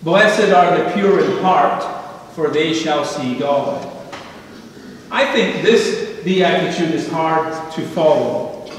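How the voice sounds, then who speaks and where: A middle-aged man preaching a sermon from a church pulpit, slow and measured, rising for emphasis on repeated phrases. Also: a little high, animated, a little hiss or hum. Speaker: pastor. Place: church sanctuary, standing at a pulpit. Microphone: pulpit microphone heard from a distant camera. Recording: echoey church hall from far off.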